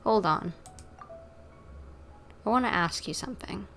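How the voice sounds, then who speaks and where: A woman's voice: medium pitch, lively intonation, measured pace, a bit bright, a little hiss or hum. A woman casually reading game dialogue and commenting during a livestream.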